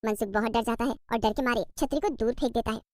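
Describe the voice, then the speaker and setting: A woman's voice: fairly high pitch, quick pace, darkish tone, no room sound, studio quality. A woman reading scripted narration for a cartoon story.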